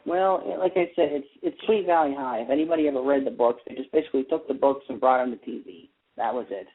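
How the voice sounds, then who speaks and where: A man's voice: mid-range voice, fast, breathy edge. A man hosting a casual radio talk show about TV, chatty and opinionated as he explains his views. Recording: tinny phone-like internet radio line.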